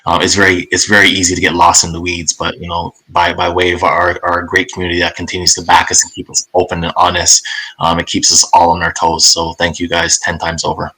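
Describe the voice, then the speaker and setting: A hoarse man who is losing his voice gives a company update, halting and repeating words with many fillers. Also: sits low, monotone, sharp tone, low-quality recording. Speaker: company executive giving an update.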